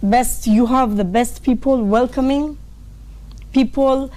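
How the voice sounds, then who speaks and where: Woman, interview guest, from Italy, mellow tone, heartfelt. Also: high-pitched, fairly even intonation, slow pace. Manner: earnest, heartfelt. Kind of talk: casual interview.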